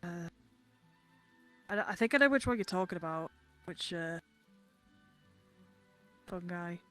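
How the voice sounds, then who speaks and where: A woman playing a village gardener in a fantasy roleplay, hesitant and halting but blunt. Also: on the low side, animated, a bit bright, faint music behind. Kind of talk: in-character virtual reality roleplay.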